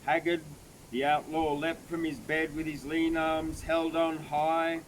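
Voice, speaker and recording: A man reciting a bush ballad in a theatrical, measured way with emphatic phrasing. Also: flat delivery. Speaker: poetry reader. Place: outdoors at a cave site. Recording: very noisy, clicks, vehicle-like rumble.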